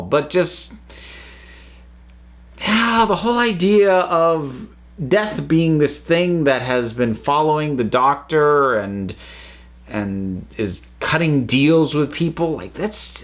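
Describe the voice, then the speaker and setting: A man's voice: on the high side, a bit bright. An American man hosting his own channel, giving a casual, rambling spoken review with frequent restarts and self-corrections. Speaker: channel host reviewing audio dramas.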